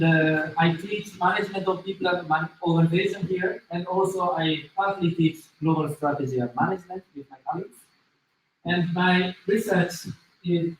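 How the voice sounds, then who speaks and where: A man with a Japanese accent lecturing to a class in a deliberate, halting way, as a native Japanese speaker. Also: fairly high pitch. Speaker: business school lecturer. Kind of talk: interactive demo lecture with self-introduction. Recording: very echoey room, distant mic, faint noise.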